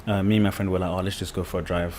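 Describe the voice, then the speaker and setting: A young man from the UK talks as a podcast guest, loose and rambling, leaning on "like" and "you know". His voice sits a little low. A faint hiss runs under the recording. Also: rapid-fire. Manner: relaxed, rambling, many fillers like "like" and "you know". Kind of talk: casual podcast conversation.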